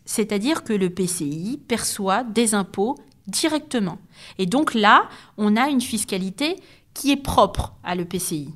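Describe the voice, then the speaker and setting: A woman giving a structured educational podcast explanation in a didactic, methodical manner, signposting each point. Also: medium pitch.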